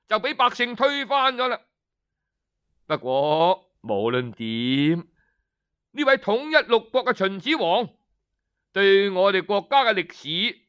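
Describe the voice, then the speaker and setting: A male radio storyteller with a high, crisp, resonant voice. He takes his time, explaining history deliberately and setting off phrases with pauses. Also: fairly bright tone. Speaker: radio storyteller, narrator and adapter. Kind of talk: traditional Cantonese storytelling narration of history.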